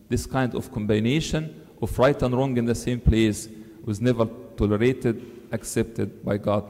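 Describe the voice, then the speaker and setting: A priest preaches from a lectern, earnest and emphatic, his pitch climbing on the words he stresses. His voice is dark and mellow. It rings out with a long reverb that fills the large church. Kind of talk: sermon. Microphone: stand mic on the lectern.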